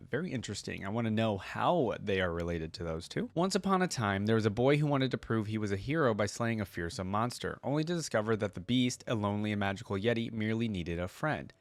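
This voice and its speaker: A man with a fairly low voice hosts a casual reaction video. He moves between offhand commentary and reading aloud, recorded dry with very little room sound. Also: dry recording.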